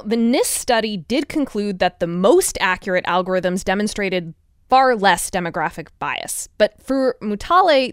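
A woman with a mid-pitched voice reports on a science podcast. She explains things conversationally, and the sound is very dry, with no room around it. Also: clear, resonant tone, dry recording.

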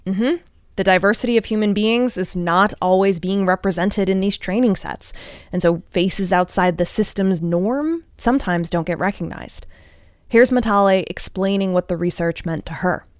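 Woman, mid pitch, podcast reporter, conversational explainer, dry sound. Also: moderate pace, dry recording.

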